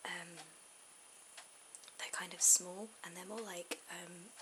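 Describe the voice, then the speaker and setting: A woman gives a drawing tutorial in a soft, breathy ASMR whisper. Her voice sits on the low side with a creaky edge, and she pauses informally mid-thought. The amateur camera audio is very noisy.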